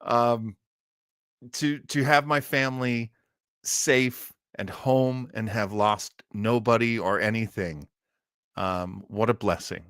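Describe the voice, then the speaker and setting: Slow, halting American man hosting casually. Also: on the low side, fairly bright tone, smooth, no room sound, clean studio sound. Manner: halting, self-deprecating, chuckles while talking. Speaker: live show host. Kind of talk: casual livestream chat. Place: home studio.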